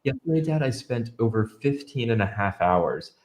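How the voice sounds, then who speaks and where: A man's voice: mid-range voice, animated, moderate pace, darkish tone. A young man with a stuffy, nasal voice, co-hosting a casual live stream and reading out viewer comments in an upbeat way. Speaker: podcast co-host.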